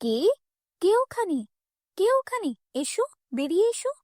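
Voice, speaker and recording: A stern, watchful fairy voiced by a woman with a high voice, questioning and commanding in a storybook cartoon. The sound is dry and close. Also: big pitch swings, a little slow, darkish tone, dry recording, exaggerated voice. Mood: alarmed, calling. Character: stern, watchful female fairy guarding a magic palace. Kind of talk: children's fairy-tale cartoon dub.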